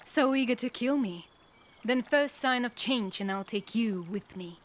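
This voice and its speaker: A woman playing a guarded, defiant dark elf assassin in a fantasy drama, with a terse, cool delivery. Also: a little high, a little slow, natural voice. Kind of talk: cinematic fantasy game-style acting.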